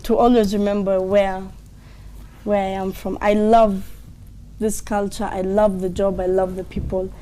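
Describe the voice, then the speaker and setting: Woman, interview guest, from Italy, mellow tone, heartfelt. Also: medium pitch, level tone, unhurried. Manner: earnest, heartfelt. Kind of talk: casual interview.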